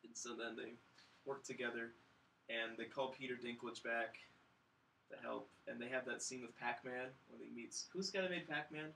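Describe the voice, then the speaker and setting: A man's voice: mid-range voice, fairly even intonation, normal speed, vocal fry, bright, forceful tone, slight background noise, low-quality recording. A man on a film review podcast, recapping casually with a sarcastic, mock-grandiose comic delivery. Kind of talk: casual comedic plot recap.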